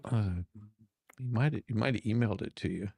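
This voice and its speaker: A man's voice: on the low side, brisk, creaky, a little mellow, no room sound, clean studio sound. A man hosting a livestream, chatting casually in a giggly, encouraging way. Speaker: stream host. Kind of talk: casual group chat on a livestream.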